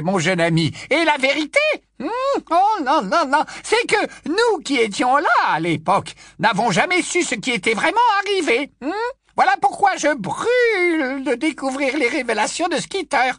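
Brief: shrill, cackling ancient aunt, swooping wildly in a dry, close booth. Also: male voice, a bit bright, no echo, exaggerated voice. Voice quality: shrill, high squawk, endlessly repeating "non, non, non". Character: cackling, nosy, ancient aunt, 107 years old. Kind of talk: storybook reading.

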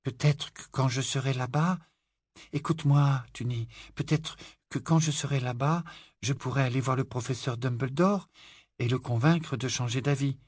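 A man's voice: mid-range voice, normal speed, hardly any pauses, darkish tone, breathy edge, no echo, clean studio sound, pitched above the performer's own voice, natural voice. A man voicing an eager young girl who questions, pleads and accuses. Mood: pleading.

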